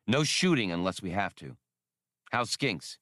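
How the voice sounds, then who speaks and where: A man voicing a bold, hot-tempered space adventurer in a storybook, brisk and plain-spoken. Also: on the low side, very animated, a bit bright, no echo, clean studio sound.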